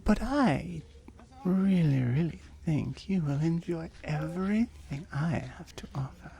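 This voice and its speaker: A woman voicing a seductive, smug oni demon in an intimate ASMR roleplay, breathy and often whispering as she slowly coaxes and teases. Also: low-pitched, expressive, dark tone, full character voice. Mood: seductive, persuasive. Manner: slow, coaxing, teasing.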